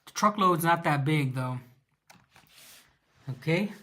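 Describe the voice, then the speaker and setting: A man's voice: a little high, expressive, takes it slowly, pushed and bright. A man with a Mexican accent hosting a casual live Q&A, reading viewer questions aloud and answering them with fillers and restarts.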